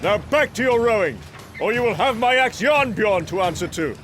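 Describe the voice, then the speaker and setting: A man's voice: sits high, lively intonation, moderate pace, a bit bright, soft background music. An actor playing a proud, brash young male Norse god of thunder, grandiose and commanding, in a comic book audio drama. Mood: angry.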